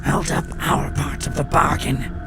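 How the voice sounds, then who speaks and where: A man voicing a curt, suspicious birdlike alien pirate in a sci-fi audio story, slow and menacing in clipped broken phrases. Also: natural voice. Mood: curt, wary. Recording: loud music bed behind voice.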